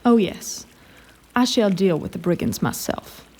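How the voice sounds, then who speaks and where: A woman's voice: mid-range voice, animated, measured pace, darkish tone. A woman playing an imperious, scheming lord commander in a fantasy audio drama, formal and silkily condescending. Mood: sweetly menacing.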